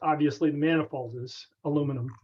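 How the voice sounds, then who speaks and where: A man with a slightly high voice talks over a dull, lo-fi conference connection. He explains a technical matter casually, haltingly and tentatively, circling back as he goes. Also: fairly even intonation, slight background noise. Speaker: club member asking a technical question. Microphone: conference call connection. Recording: dull, band-limited conference call audio.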